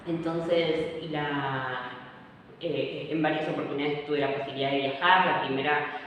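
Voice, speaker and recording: A woman's voice: sits low, steady tone, measured pace. A woman, answering interview questions in a hesitant way, with many fillers and restarts. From Argentina. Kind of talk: casual interview talk. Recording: echoey room, far from mic, faint noise.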